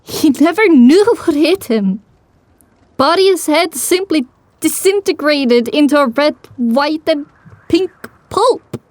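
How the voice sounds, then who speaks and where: Lady voicing a sad young pony girl narrating her story, high voice, real slow and halting, emotional, super dry sound. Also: expressive, darkish tone, dry recording. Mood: grief-stricken. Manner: emotional, halting, drawn-out delivery. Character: grieving, determined young female pony recounting her tale. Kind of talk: first-person dramatic audiobook narration.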